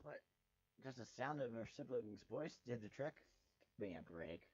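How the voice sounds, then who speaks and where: A man's voice: mid-range voice, lively intonation, normal speed, darkish tone, husky, no room sound. Male fan fiction narrator, sardonic and exasperated, dry close sound, slightly lo-fi. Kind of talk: dramatic first-person story narration.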